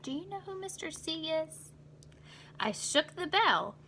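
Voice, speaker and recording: A woman's voice: extremely high pitch, big pitch swings, a little slow, bright, forceful tone, a little hiss or hum. A woman reading a children's storybook aloud as a teacher, expressively, with little asides to young listeners about the pictures.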